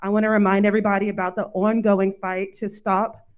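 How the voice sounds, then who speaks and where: A woman's voice: mid-range voice, little pitch movement, a little fast, clear, resonant tone. A woman from coastal Georgia, a journalist, casually opening a live book-event conversation with frequent ums. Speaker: interviewer, journalist.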